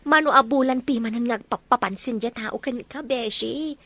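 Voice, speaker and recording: A woman's voice: a little high, normal speed, fairly bright tone, dry recording. A woman playing a pushy, teasing young best friend in an audio drama. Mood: coaxing. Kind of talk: Ilocano audio drama acting.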